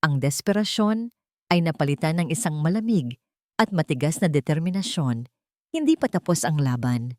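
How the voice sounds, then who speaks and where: A woman's voice: low voice, big pitch swings, moderate pace, darkish tone, dry recording, clean studio sound. A woman with a Filipino accent narrating a dramatic audio story, voicing the characters' lines herself.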